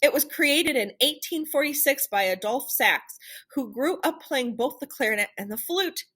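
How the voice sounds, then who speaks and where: A woman reading a children's picture book aloud in an animated, theatrical storytelling manner. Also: high-pitched, normal speed. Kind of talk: expressive children's read-aloud narration.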